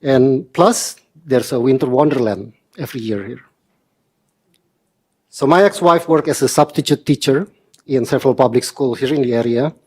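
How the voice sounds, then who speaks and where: A man from Indonesia in his forties gives a prepared statement at a council meeting. He speaks earnestly and with measured pacing, in a mid-pitched voice with slightly formal phrasing. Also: darkish tone.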